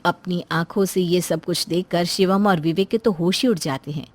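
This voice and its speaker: A woman's voice: lowish voice, normal speed. A woman storyteller reading a scripted moral story as narration.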